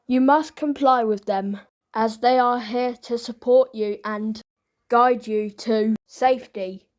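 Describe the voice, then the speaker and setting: Woman, fairly high voice, formal, scripted broadcast announcement. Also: darkish tone. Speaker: continuity announcer.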